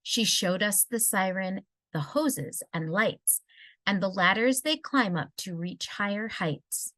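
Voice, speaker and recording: Female storybook reader, unhurried delivery, close dry sound, rhyming read-aloud for children. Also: mid-range voice, bright, forceful tone, no echo.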